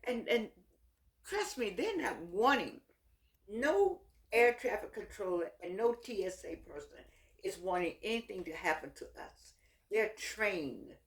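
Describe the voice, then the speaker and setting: Mature American woman for an unscripted opinion vlog; medium pitch, urging and sincere, stumbles back over her words. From United States. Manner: earnest, exhorting, halting with repeated words. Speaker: vlogger addressing viewers. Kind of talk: unscripted opinion talk to camera.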